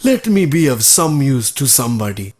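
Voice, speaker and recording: A man playing a kindly, selfless, very old sage in a children's cartoon, speaking solemnly and deliberately. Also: medium pitch, sing-song, a little mellow, close to an everyday voice. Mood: compassionate, solemn.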